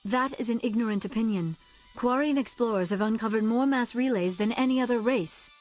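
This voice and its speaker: Composed female alien scientist played by a woman, measured formal diction, higher register, light music underneath. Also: a little mellow, close to an everyday voice. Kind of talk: video game voice acting.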